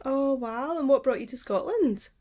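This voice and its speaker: A woman's voice: sits high, animated, moderate pace, mellow. Eager woman hosting a podcast. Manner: enthusiastic, eager interviewer. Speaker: podcast host. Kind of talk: casual podcast interview.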